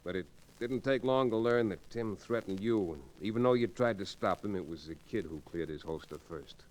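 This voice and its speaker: An actor playing a cool, steady frontier lawyer in an old-time radio western, speaking in a measured, understated way. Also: male voice, lowish voice, natural voice. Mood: cool, probing. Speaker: lead actor and narrator. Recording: noisy old radio recording.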